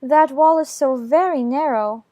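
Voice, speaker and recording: A man voicing a polite, earnest seven-year-old girl who speaks gently. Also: very high-pitched, measured pace, dry recording, lo-fi sound, pitched above the performer's own voice. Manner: gentle, polite, a bit anxious.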